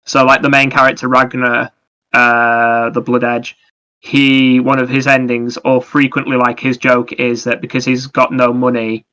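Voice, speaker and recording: Bright, even-toned male podcast co-host. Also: mid-range voice, measured pace, low-quality recording. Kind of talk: casual chat about video games.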